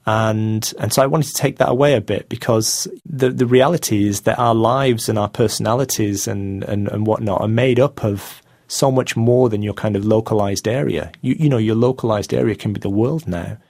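A man's voice: fairly low pitch, moderate pace, gravelly, few pauses, darkish tone, no echo, studio quality. A man in his thirties with a Manchester accent, a guest speaking casually in an interview, hesitant with many fillers and restarts. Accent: Manchester English. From England. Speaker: guest artist.

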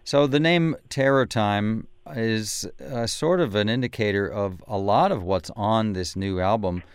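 A man's voice: lowish voice, a little slow, smooth, dry recording. An American man hosting a radio interview, hesitant and full of fillers as he corrects himself. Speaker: radio host.

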